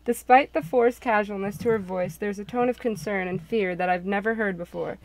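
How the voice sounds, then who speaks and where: A woman reading expressive scripted narration for a visual novel. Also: mid-range voice, brisk, low-quality recording. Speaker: narrator and game developer. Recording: clicks and clear background noise.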